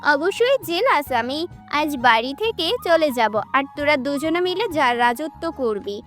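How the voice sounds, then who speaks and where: A woman's voice: high-pitched, expressive, unhurried. A woman playing a playful, coy young bride-to-be in a romantic audio story. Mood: playful jealousy. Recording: soft music behind the voice.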